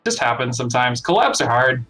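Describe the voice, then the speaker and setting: A man's voice: medium pitch, big pitch swings, fairly quick, bright, forceful tone. A man chatting informally as a music producer on a talk show. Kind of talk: casual chat.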